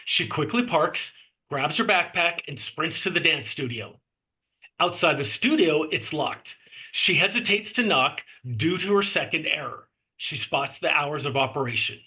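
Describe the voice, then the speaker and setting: A man's voice: fairly high pitch, expressive, measured pace, pushed and bright. A man reading screenplay action lines and scene headings as narrator at a table read. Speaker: stage directions reader.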